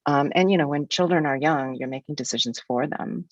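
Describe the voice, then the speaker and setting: A woman's voice: low-pitched, fairly quick, no room sound, dull audio. A woman in her sixties from the United States, a guest speaker in an academic webinar, talking in a frank, reflective way with some restarts.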